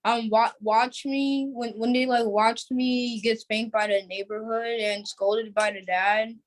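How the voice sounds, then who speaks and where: Female guest, casual and unsure, pausing often to search for the next word. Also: on the high side, fairly even intonation, low-quality recording. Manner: hesitant, searching for words. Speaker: young cast member, interview guest. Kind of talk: casual chat.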